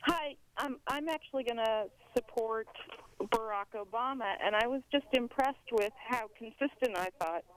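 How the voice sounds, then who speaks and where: An American woman phoning in to give an earnest, articulate political opinion, measured and searching for words. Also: fairly high pitch. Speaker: phone-in caller. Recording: dry telephone line.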